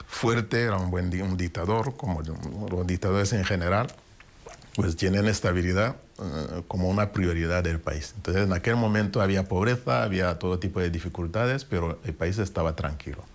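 Deep-voiced man calmly recounting his story. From Rwanda. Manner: reflective, unhurried storytelling with frequent restarts. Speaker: interview guest. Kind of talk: personal testimony in interview.

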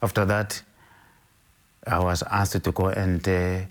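An older Zimbabwean man with a very low, deep voice. He speaks slowly and carefully in an interview, often pausing and restarting his sentences as he recalls his past. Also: flat delivery. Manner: measured, hesitant, with frequent restarts. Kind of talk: interview, recounting his life.

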